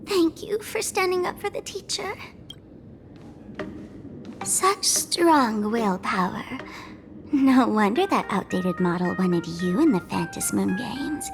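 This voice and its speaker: A woman's voice: fairly high pitch, big pitch swings, slow, vocal fry, pauses often, full character voice. A woman voicing a playful, scheming girl streamer in a video game, teasing and theatrical, with menace under the sweetness. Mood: sly menace. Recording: music behind the voice. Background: game music.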